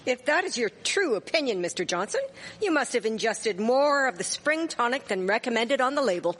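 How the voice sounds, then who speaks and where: Woman acting a high-voiced, indignant Victorian wife in hall. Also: very animated, normal speed, a bit bright. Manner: scolding, sarcastic, formal diction. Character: sharp-tongued, indignant Victorian village wife. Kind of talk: live radio drama acting. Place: performing arts theatre stage. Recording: echoey hall, live stage performance.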